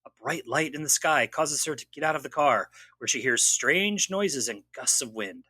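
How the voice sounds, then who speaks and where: A man's voice: medium pitch, expressive, moderate pace, sharp tone, husky, no room sound. A man hosting a podcast, giving a wry, jokey recap of a TV mystery episode. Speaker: podcast host. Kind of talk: scripted recap narration.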